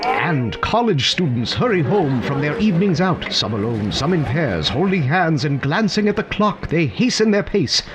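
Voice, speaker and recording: An actor playing a whimsical, wistful storyteller narrating a radio fable theatrically, with deliberate dramatic pauses. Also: male voice, on the high side, very animated. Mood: wistful, expectant. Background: prominent music.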